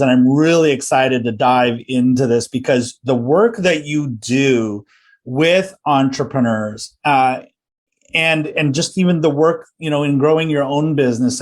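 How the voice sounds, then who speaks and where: A man hosting a business coaching podcast, friendly and enthusiastic, often restarting his sentences. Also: medium pitch. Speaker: podcast host. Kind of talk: podcast interview.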